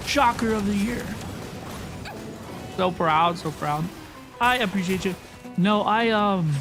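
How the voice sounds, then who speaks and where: A woman hosts a gaming livestream in a casual, chatty way. Her voice sits at a middle pitch and she often repeats a phrase for emphasis. Music plays behind her. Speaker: livestream host. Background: game music.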